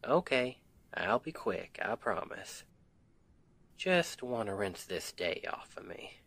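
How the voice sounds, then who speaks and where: A man's voice: lowish voice, sing-song, takes it slowly, gravelly, fairly bright tone, breathy edge, heavily acted. A man playing a sweet, devoted Southern husband in an intimate ASMR roleplay, tender and affectionate, with a Southern US accent. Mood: easygoing.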